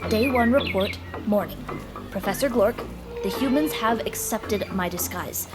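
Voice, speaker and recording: A woman's voice: mid-range voice, measured pace, loud music behind the voice, natural voice. A woman voicing an earnest, suspicious alien posing as a preteen boy, with stiff, formal, literal speech in an audio drama. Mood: secretive, reporting.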